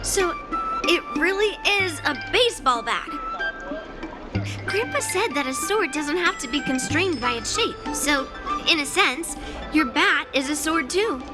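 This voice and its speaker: A woman's voice: sits high, lively intonation, a little slow. A woman playing a cheerful, confident young swordswoman in video game story dialogue, speaking in a frank, friendly, breezy manner over music. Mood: playful, assured. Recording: background music behind the voice.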